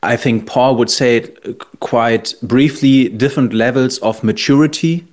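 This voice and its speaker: A man with a German accent speaks English slowly and thoughtfully as a podcast co-host. His voice sits in an ordinary middle pitch. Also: darkish tone.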